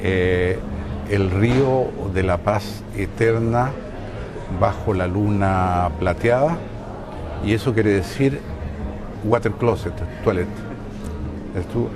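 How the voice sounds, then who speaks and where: Older Chilean man, low voice, hesitant. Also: slow, long pauses, music in the background. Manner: hesitant, searching for words with many fillers. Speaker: interviewee, filmmaker. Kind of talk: casual interview answers. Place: outdoor balcony above a city. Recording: outdoor city noise behind.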